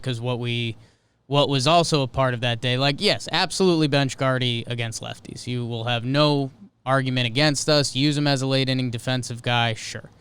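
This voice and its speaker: A man's voice: medium pitch, a bit bright, smooth. A man co-hosting a sports podcast, talking casually and animatedly, arguing his points with stats and rhetorical questions.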